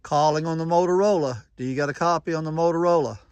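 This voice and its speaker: Male reviewer, higher-pitched, folksy and plain-spoken, doing casual field-test commentary and radio calls, dry sound. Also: fast, a little mellow, clean tone, dry recording. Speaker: vlogger testing walkie-talkies.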